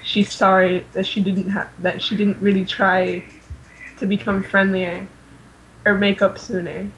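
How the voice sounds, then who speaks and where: A woman's voice: medium pitch, little pitch movement, measured pace, lo-fi sound, soft background music. A woman co-hosting a fan podcast, talking casually and a little hesitantly with lots of "like.". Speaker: podcast co-host.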